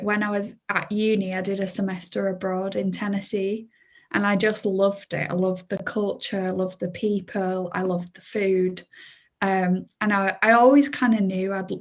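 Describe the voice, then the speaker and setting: A woman, answering interview questions about her life. Her pitch stays flat and even from phrase to phrase, and her voice sits in the middle of the range. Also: measured pace. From Leeds, England. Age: 20s. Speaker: guest, charity youth worker.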